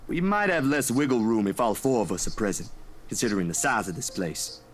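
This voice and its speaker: A man's voice: medium pitch, big pitch swings, a little fast. An actor playing an easygoing, kindly young man in a visual novel game. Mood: reassuring. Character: Zach, easygoing, kindly young gentleman friend.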